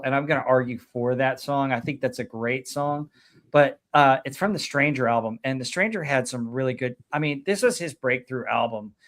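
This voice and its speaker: A man hosting a casual podcast chat about music, talking enthusiastically with many fillers. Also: medium pitch. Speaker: podcast host.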